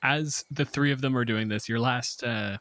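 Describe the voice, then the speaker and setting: A man's voice: mid-range voice, normal speed, fairly bright tone, dry recording. A man running a tabletop game as game master, narrating in a conversational, joking way.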